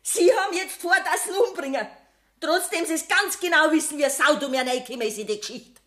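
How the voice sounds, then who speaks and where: Radio play casting: a defiant, bitter Bavarian convict's mother, earthy and blunt, voice on the high side. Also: quick pace, a bit bright. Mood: indignant, accusing. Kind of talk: 1970s German radio play acting.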